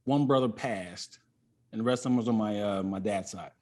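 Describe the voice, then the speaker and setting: A man's voice: on the low side, normal speed, a little mellow. An American man talking casually as a comic guest, telling stories in a self-deprecating, joking way and repeating phrases as he builds a bit. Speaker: stand-up comic and podcast guest. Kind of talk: casual comedic storytelling.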